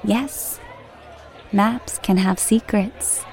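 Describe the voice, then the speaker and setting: A woman's voice: medium pitch, fairly even intonation, extremely slow, darkish tone, a faint crowd. An actress playing an enigmatic, probing woman in an audio drama trailer.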